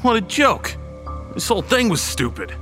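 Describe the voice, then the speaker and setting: A man's voice: high-pitched, very animated, moderate pace, fairly bright tone, big-room sound, soft background music. A man playing a skeptical, irritable young man in a horror audio drama, speaking bluntly. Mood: annoyed.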